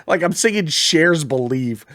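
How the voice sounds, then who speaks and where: A man co-hosting a gaming podcast in casual chat, his voice a little raspy, swearing often and laughing easily. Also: on the high side, lively intonation, unhurried, a bit bright, no room sound. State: slightly raspy from singing. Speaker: podcast co-host.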